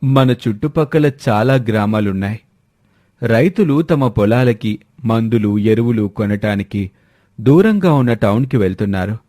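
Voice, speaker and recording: A man's voice: fairly low pitch, expressive, moderate pace, warm tone, no echo, clean studio sound, close to an everyday voice. A man voicing a confident, wealthy landlord with an earnest, persuasive manner in a storybook tale.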